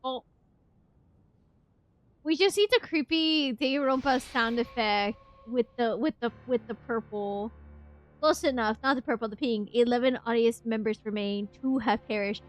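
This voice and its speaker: A woman streamer reacting casually and playfully to a game, excitable and joking with her chat. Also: fairly high pitch, moderate pace. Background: game music.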